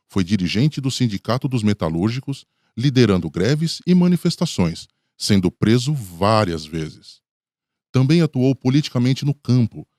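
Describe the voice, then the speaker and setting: A man's voice: fairly low pitch, mellow, no echo, clean studio sound. A man with a Brazilian accent giving scripted narration for a radio documentary.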